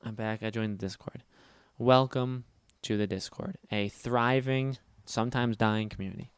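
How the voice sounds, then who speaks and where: A man hosting a casual gaming livestream, talking fast and loud with a nasal voice and rambling as he answers chat. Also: on the low side, fairly even intonation, clean tone, no room sound, clean studio sound. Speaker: livestream host.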